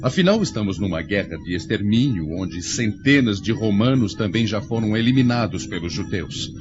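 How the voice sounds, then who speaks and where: Brief: proud, merciless young commander, Brazilian accent, animated delivery, radio play over loud music. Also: male voice, on the low side, normal speed, highs cut off. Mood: cold, zealous. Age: young adult. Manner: imperious, theatrical, declamatory. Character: young ruthless Roman commander, emperor's proud son. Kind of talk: radio drama dialogue.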